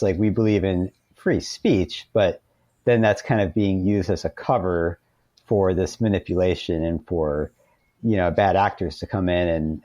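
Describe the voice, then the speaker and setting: A man's voice: sits low, dark tone, no echo. A man explaining things conversationally as an interview guest, hesitantly, often repeating words and saying "you know". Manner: hesitant, with frequent 'you know' and repeated words.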